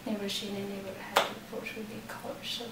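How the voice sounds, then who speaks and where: A woman's voice: mid-range voice, level tone, a little fast, distant mic, a crowd in the background. Young woman from Nepal, echoey room. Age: 20s. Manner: plain, simple non-native English. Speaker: interviewee. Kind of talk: casual interview answers.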